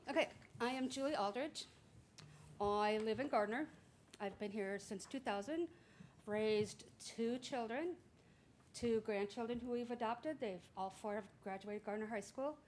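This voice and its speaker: A woman's voice: a little high, unhurried, darkish tone, a little hiss or hum, low-quality recording. A middle-aged woman interviewing as a school board candidate, speaking in a casual, chatty way with hesitant fillers. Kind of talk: public interview. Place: school board meeting room.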